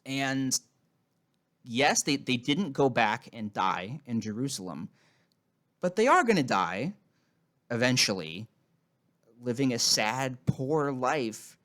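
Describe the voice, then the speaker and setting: A man's voice: medium pitch, lively intonation, slow pace, long pauses, a bit bright. A man giving casual, mocking commentary on a film in a sarcastic, irreverent way. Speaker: video host and commentator.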